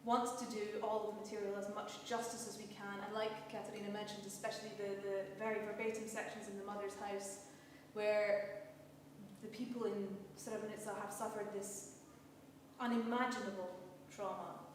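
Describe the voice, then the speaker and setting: A woman's voice: mid-range voice, level tone, normal speed. This is a Scottish woman speaking on a panel, heard through a very echoey hall. She is picked up from a distance, with faint noise behind her. She talks earnestly and from the heart, often doubling words as she searches for them. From Scotland. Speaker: panelist, cast member of a stage production. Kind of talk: panel discussion.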